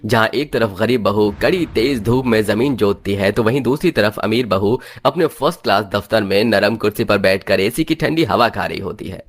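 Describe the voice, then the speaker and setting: A man's voice: fairly low pitch, moderate pace, hardly any pauses. A man narrating a scripted moral story in a dramatic storytelling style.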